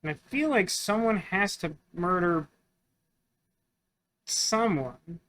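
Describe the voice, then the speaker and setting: High-pitched, bright-voiced man gaming. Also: lively intonation, slow. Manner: musing, puzzling through aloud. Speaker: gaming video host. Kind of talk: casual let's play commentary, thinking aloud.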